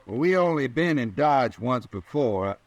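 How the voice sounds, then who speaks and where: An actor playing a talkative, domineering cowboy ranch hand with folksy rural speech in an old-time radio Western. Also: male voice, mid-range voice, lively intonation, unhurried, no echo, muffled recording, natural voice. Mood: folksy, ingratiating.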